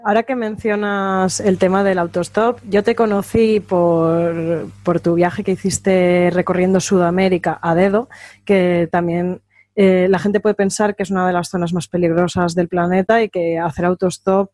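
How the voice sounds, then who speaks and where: A woman's voice: on the low side, a little mellow, dry recording, clean studio sound. A woman hosting a conversational interview, putting questions to her guest and commenting on the answers. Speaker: interviewer, host.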